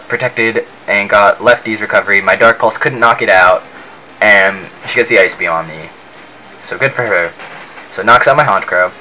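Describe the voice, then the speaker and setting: A young man narrating a video game battle in a chatty, excitable way, his voice a bit off from being sick. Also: fairly low pitch, slight background noise, low-quality recording. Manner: chatty, excitable, many fillers. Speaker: game commentator. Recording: faint music behind.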